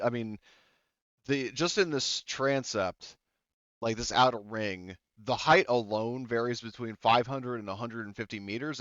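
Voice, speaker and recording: A man's voice: mid-range voice, fairly bright tone, clear, resonant tone, dry recording. A man running a tabletop game as game master, explaining rules in a rambling way with many fillers and restarts. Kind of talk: casual tabletop game narration. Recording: dull lo-fi audio.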